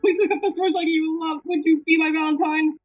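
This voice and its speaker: Woman doing a stammering, flustered schoolboy, pitched high. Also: fairly even intonation, fairly quick, phone-quality sound, soft background music. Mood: flustered, urgent. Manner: stammering, urgent. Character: flustered, earnest teenage schoolboy shyly confessing his love.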